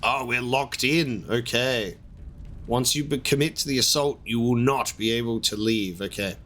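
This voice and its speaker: Hoarse, chatty gaming commentator man. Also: medium pitch, moderate pace, a bit bright, slight background noise. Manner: chatty, rambling, upbeat. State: hoarse from a lost voice. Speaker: let's play host. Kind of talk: casual gameplay commentary.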